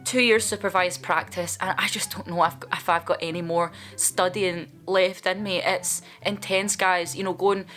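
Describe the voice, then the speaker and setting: A woman's voice: lowish voice, fairly even intonation, normal speed, hardly any pauses, fairly bright tone, faint music behind. Chatty woman in her twenties. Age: 20s. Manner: chatty and candid, with playful asides. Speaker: vlogger. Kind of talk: casual chat answering viewer questions.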